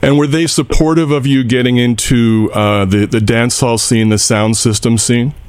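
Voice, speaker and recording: A man interviewing a guest on the radio, asking polite, quick questions. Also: medium pitch, fairly even intonation, clear, resonant tone.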